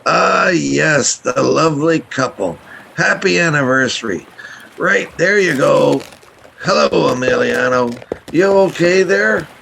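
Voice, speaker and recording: An actor playing a jovial, welcoming lobster boat captain in a radio play. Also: male voice, a little high, animated, unhurried, creaky, bright, forceful tone, full character voice. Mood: hearty, reassuring. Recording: faint background noise.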